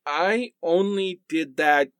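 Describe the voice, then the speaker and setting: A man's voice: a little high, slow, a bit bright, smooth, dry recording, studio quality. An actor playing a defensive, exasperated husband with a whiny manner, bickering with his wife in a radio play.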